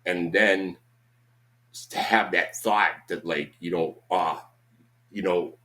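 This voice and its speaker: Deep-voiced Boston man in his fifties. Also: slow, sharp tone. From Boston, USA. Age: 50s. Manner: rambling, peppered with "you know what I'm saying", swears freely. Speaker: podcast guest. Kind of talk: casual conversation.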